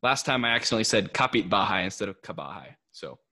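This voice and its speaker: A young American man chats easily as the host of a casual podcast, his voice sitting on the low side. He keeps things loose, laughs a lot and fills pauses with "um" and "uh". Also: expressive, bright, forceful tone. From United States. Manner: laid-back and chatty, laughs often, many fillers. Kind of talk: casual podcast chat mixing English and Tagalog.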